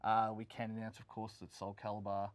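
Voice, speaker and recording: An Australian man hosting a video game review show in casual, unscripted chat, speaking haltingly and repeating words as he goes. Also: fairly low pitch, monotone, no room sound.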